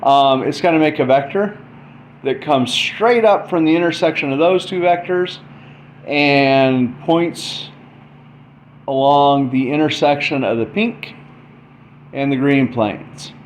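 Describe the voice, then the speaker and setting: A man's voice: fairly high pitch, slow pace. A man giving an informal explainer, thinking aloud in short, stop-start phrases as he demonstrates. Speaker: presenter explaining math. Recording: dull lo-fi mic, faint background noise.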